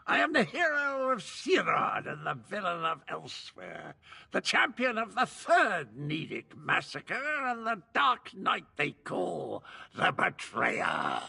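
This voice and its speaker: A man voicing an undead severed head of a mad, boastful knight in a video game, gravelly, theatrical and mock-polite. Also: high-pitched, wildly swooping pitch, slow pace, sharp tone, exaggerated voice. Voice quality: gravelly, raspy. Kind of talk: video game character acting.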